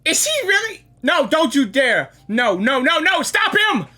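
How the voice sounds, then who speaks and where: A woman's voice: sits high, very animated, a little slow, sharp tone. A woman giving spontaneous reaction commentary on a game, exclaiming and repeating her words. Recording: game music behind the voice.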